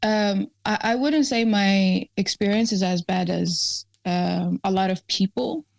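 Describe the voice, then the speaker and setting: Lowish gravelly woman from Ethiopia, hesitant interview guest, dry close sound. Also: measured pace, a little mellow, no room sound. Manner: hesitant, many ums and restarts. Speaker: activist guest. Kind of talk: casual interview conversation.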